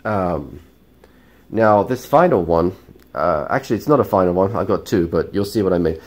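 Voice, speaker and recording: A man hosting a casual record haul vlog, talking in a chatty, rambling way with lots of ums, his voice croaky and stuffy from a cold. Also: deep voice, animated, normal speed, a little mellow. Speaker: vinyl collecting vlogger.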